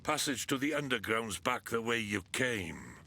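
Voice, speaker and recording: An actor playing a stern, weary military commander in a fantasy video game, curt, authoritative and sardonic. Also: male voice, medium pitch, expressive, moderate pace, pushed and bright, a little hiss or hum. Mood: stern, businesslike.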